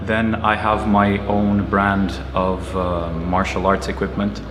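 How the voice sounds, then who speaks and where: A man in his thirties from Portugal, a native Portuguese speaker, answering interview questions in a measured, earnest way with frequent pauses. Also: deep voice, monotone, noisy background. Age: 30s. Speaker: karate teacher and entrepreneur. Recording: roomy echoey space with music behind. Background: music playing under the voice.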